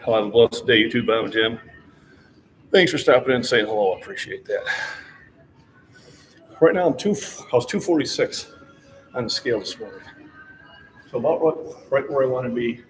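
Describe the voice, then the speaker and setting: A man's voice: mid-range voice, moderate pace, long pauses, slight background noise, poor audio. A man in his sixties hosting a casual fitness livestream, chatting with viewers in a friendly, encouraging coach's way. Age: 60s.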